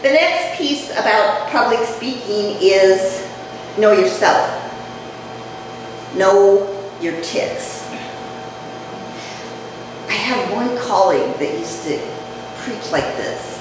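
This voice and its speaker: An older woman giving a chatty public talk to a live audience, her voice dropping low as she speaks. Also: animated, slow pace, long pauses. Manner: conversational, chatty, jokes with the audience. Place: community event room. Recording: echoey hall, mic far off, noisy.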